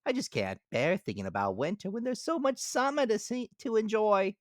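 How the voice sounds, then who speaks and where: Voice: mid-range voice, big pitch swings, moderate pace, no room sound, clean studio sound, voice pushed up, natural voice. A storyteller voicing a carefree, flighty female grasshopper in a storybook fable, with exclaiming, theatrical delivery. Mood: dismissive, breezy. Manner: exclaiming, theatrical, sing-song. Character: carefree, flighty female grasshopper, dismissive then pitifully remorseful. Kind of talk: dramatic storybook character reading.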